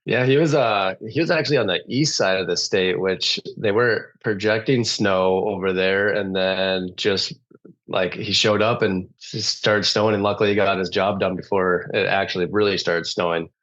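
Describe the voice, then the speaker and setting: A man's voice: low-pitched, fairly even intonation, normal speed, fairly bright tone, highs cut off. A man in his thirties from Michigan, a podcast guest chatting easily and laughing often. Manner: easygoing, laughs often, many fillers. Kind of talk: casual interview chat.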